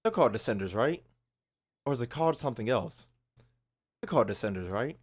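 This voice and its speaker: A man streaming casually to his chat, profane and slangy, thinking out loud as he talks. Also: mid-range voice, animated, a little fast, slightly rough. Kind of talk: casual live commentary to chat.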